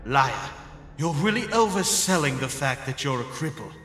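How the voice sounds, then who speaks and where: A man's voice: mid-range voice, lively intonation, normal speed, a bit bright, soft background music, natural voice. A man voicing an arrogant, commanding teenage schoolboy in a comic dub, curt and cold. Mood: stern, probing. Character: arrogant, commanding high-ranking teenage schoolboy obsessed with hierarchy. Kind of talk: dramatic comic dub acting.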